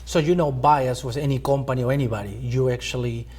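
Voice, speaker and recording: A man hosting a talk show from a school TV studio, asking questions haltingly and repeating words. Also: mid-range voice, fairly even intonation, darkish tone, husky. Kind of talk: interviewing a guest.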